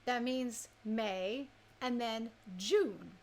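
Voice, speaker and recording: Woman, radio DJ, fairly high voice, casual live chat, conversational, addresses listeners directly. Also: drawn-out words, a little mellow. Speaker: radio DJ and host.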